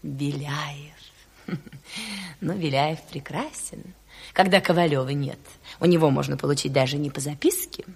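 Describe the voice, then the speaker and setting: A woman's voice: low-pitched, unhurried, creaky, long pauses, slightly rough, heavily acted. An actress playing a naive, gushing bourgeois lady in a theatrical radio drama, giggly and affected, with a mannered drawl. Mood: gossipy, coy. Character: coquettish, naive, gushing bourgeois lady seeking Party membership. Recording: reverberant 1970s radio studio.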